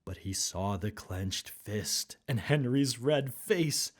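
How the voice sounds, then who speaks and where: Female storybook narrator, very low register, lively swooping intonation, unhurried pace for rhyming read-aloud. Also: slightly rough.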